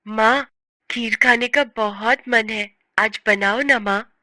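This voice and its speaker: A woman's voice: on the high side, slow, sharp tone, dry recording, studio quality. A woman voicing an innocent little girl in a story, sweet, childlike and plaintive. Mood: sweet, coaxing. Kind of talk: dramatized story reading.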